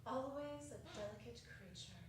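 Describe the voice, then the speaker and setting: A woman playing a gentle, solemn guiding Christmas ghost in a theatrical dramatic reading. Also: fairly high pitch, animated, moderate pace, background noise, close to an everyday voice. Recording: very echoey hall, distant mic.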